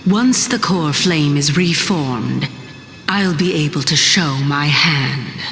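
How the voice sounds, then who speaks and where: A woman playing an ancient goddess in a video game, composed and teasing, with measured, poised delivery. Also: low voice, a bit bright, exaggerated voice. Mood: confident, amused. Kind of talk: video game character acting. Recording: long reverb, music behind.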